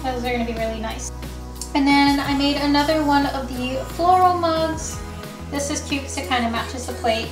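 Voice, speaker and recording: A young woman talks through her day in an easy, chatty vlog, her high voice a touch hoarse from losing it. She fills her sentences with "like" and sounds upbeat. The room rings a bit and music runs behind her. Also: echoey room, poor audio. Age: young adult. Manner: chatty, many "like" fillers, upbeat. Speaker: ceramics small business owner vlogging. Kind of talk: casual vlog narration. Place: home studio office and dining room, indoors. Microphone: camera mounted on window, handheld at times.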